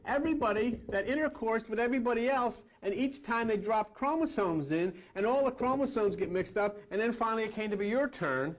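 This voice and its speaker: A man's voice: sits high, fairly quick, few pauses. A man giving an esoteric sermon-style lecture as a spiritual teacher, emphatic, repeating phrases and asking rhetorical questions.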